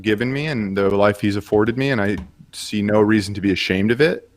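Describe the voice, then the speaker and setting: A man improvising an entitled, flustered rich kid at a comedy commercial audition, halting and defensive. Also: fairly low pitch, slight background noise, natural voice. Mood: defensive, smug. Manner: halting, defensive, self-important. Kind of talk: improvised comedy show banter.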